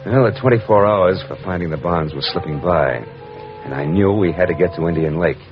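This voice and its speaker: A very low-voiced, hard-boiled American private eye played by a male actor, clipped, wry and in command. He narrates like a 1940s radio drama, on a dull old recording with music. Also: fairly quick, natural voice. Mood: wry, brooding. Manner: wry, clipped, world-weary.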